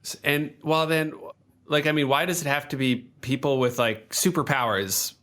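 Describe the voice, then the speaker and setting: A man playing a tabletop game, talking casually and haltingly in character, peppering his questions with "like". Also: a little high, steady tone, a bit bright. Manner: halting, frequent "like". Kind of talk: casual improvised in-character chat.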